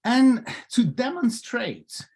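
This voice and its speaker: A middle-aged man with a Ukrainian accent giving a humorous prepared speech over a video call, telling jokes with pauses. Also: very high-pitched, gravelly, slightly rough. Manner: jokey, lively storytelling with pauses. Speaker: club speaker.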